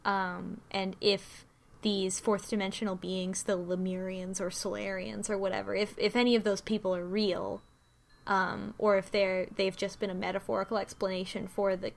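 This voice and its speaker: A woman's voice: mid-range voice, steady tone, normal speed, creaky. A woman in a casual group discussion, speculating and posing questions as she muses. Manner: speculative, musing with frequent questions. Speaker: discussion panelist.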